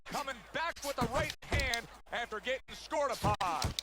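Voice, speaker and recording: An excitable male boxing commentator from a video game, calling the fight in a high voice that swings up and down. His play-by-play sits in loud fight noise. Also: normal speed. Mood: excited.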